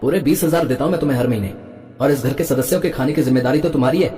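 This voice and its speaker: A man playing a blunt, fault-finding husband in an animated family story, with a curt, complaining manner. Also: mid-range voice, racing, natural voice. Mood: stern. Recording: echoey hall-like reverb.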